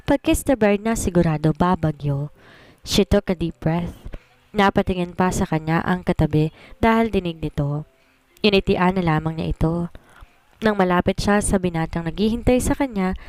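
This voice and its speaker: A woman's voice: lowish voice, darkish tone, clean tone, no echo. A woman narrating a scripted romance story as a storyteller.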